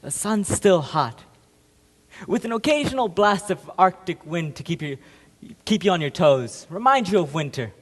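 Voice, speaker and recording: A man performing a stage monologue as a wistful older professor, reflective, rambling and gently amused. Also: on the high side. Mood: wistful, content. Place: large echoing room, performing to an audience. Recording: reverberant hall recording.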